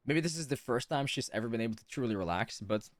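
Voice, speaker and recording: A man's voice: mid-range voice, expressive, fast. A man giving casual live commentary as a game streamer. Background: game music.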